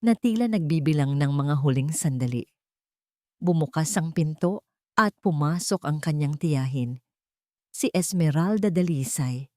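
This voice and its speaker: Woman narrating a drama, low-pitched. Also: expressive, darkish tone, no room sound, clean studio sound. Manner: measured, emotive storytelling with short phrases. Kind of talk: scripted dramatic story narration.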